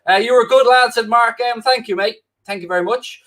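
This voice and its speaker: An Irish man with a mixed Dublin and Wicklow accent hosts a live football watchalong, reading out viewer comments. His voice sits high for a man, and his talk is cheeky, self-mocking banter. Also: brisk, fairly bright tone. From Ireland. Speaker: fill-in host of a football watchalong. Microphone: new microphone, close.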